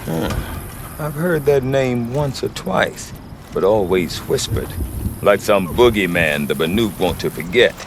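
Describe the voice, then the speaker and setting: Casting: folksy middle-aged merchant dad, video game. Low-pitched, very lively intonation, wry and colloquial. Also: male voice, unhurried, gravelly, noisy background. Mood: wry, confiding. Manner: wry, hesitant, colloquial salesman patter. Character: folksy, chatty Oseram trader father, middle-aged, wry salesman. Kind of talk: video game character acting.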